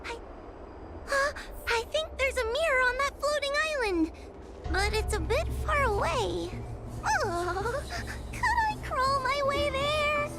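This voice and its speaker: A woman's voice: very high voice, sing-song, slow, over music, heavily acted. A woman playing a timid, anxious young girl spirit-hunter in a video game, with hesitant, stammering speech. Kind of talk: video game character acting.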